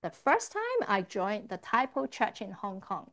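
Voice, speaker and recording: A woman's voice: medium pitch, huge pitch swings, unhurried, a bit bright, a little hiss or hum. Woman interpreting a church talk. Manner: renders his words line by line in the first person. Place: church service.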